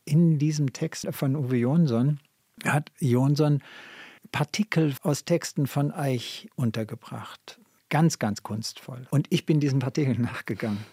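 A man answers interview questions as a literary scholar. His voice is mellow and husky, recorded dry. He speaks deliberately and repeats phrases for emphasis. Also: mid-range voice, no room sound.

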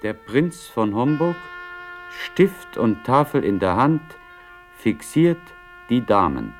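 An actor as a solemn announcer reading scene directions in a classical German radio play, formal and deliberate. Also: male voice, mid-range voice, very animated, faint music behind.

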